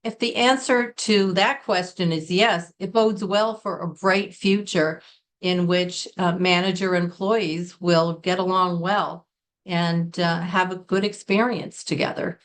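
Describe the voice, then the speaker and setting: A middle-aged American woman from Connecticut, giving an earnest, deliberate business English lesson and stressing key words. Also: on the low side, steady tone. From Connecticut, United States. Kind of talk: scripted lesson to camera.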